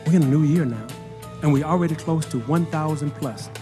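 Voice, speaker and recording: A bitterly sarcastic narrator played by a man delivers a scripted monologue in an audio drama. The voice is fairly high, with music playing behind it. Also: brisk, warm tone, lightly acted. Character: bitterly sarcastic male narrator and social critic.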